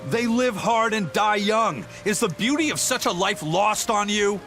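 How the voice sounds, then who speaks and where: Um ator interpretando um capitão pirata severo e orgulhoso, de fala firme e professoral. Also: male voice, high voice, animated, unhurried, sharp tone, faint music behind, full character voice. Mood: indignant. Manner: stern, lecturing. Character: stern proud male pirate captain. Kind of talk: game dub acting.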